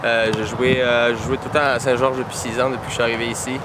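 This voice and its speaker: A man's voice: mid-range voice, flat delivery, fast. French man with hesitant speech outdoors. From France. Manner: hesitant, many fillers. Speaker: soccer player trying out. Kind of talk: outdoor interview. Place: outdoors by a soccer field. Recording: noisy outdoor background.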